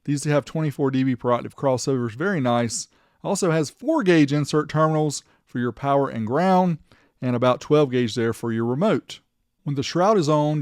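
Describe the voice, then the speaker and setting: A man's voice: mid-range voice, a little mellow, dry recording, studio quality. A man narrating a product showcase as the host, in a chatty, informal way. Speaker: host of a vintage car audio video channel.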